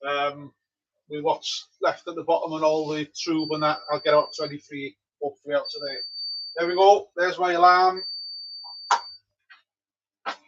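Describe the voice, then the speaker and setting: Welsh man, slightly high voice, relaxed brewing chatter, muffled lo-fi stream sound. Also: moderate pace, pauses often, a little hiss or hum. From Wales. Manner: relaxed, chatty, answers viewers. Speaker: homebrewer streaming live. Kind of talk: casual live-stream chat. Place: home brewery.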